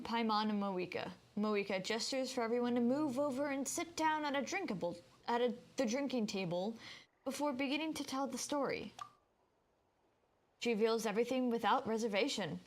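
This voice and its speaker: A woman with a fairly high voice streams a video game. She comments casually on the story and reads lines of it out loud. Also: lively intonation, moderate pace. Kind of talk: casual game commentary and reading game text aloud.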